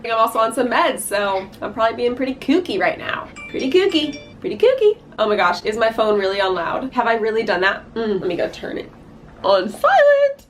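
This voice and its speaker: A woman's voice: on the high side, very animated, brisk, music in the background. A bubbly, chatty woman in her twenties, congested from a cold, talking openly and mocking herself in a casual vlog. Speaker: vlogger.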